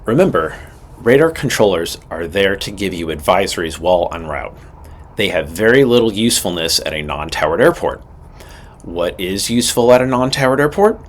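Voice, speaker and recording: A man with a fairly low voice presents a scripted instructional lesson. He sounds like an instructor, direct and matter-of-fact, leaning on his key points for emphasis. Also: a bit bright. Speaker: instructor presenting a pilot training video.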